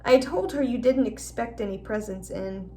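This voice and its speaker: A woman reading a storybook and voicing an excitable, blunt young schoolboy with an expressive, exclaiming manner. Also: fairly high pitch, normal speed, distant mic, lightly acted. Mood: embarrassed dismay.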